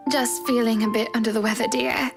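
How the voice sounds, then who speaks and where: A woman voicing a weary, affectionate married young woman from a visual novel, with soft, measured, genteel phrasing. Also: a little high, little pitch movement, gravelly. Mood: unwell, reassuring. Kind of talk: visual novel character read-aloud. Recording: voice over prominent game music.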